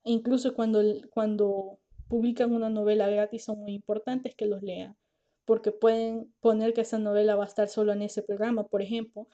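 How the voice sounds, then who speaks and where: A woman's voice: medium pitch, little pitch movement, normal speed, warm tone, lo-fi sound. A woman podcast host, a lawyer and author, talking casually and earnestly to her listeners; she is tired, finds it hard to talk and can't pronounce her R well. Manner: earnest, reassuring, speaks directly to listeners.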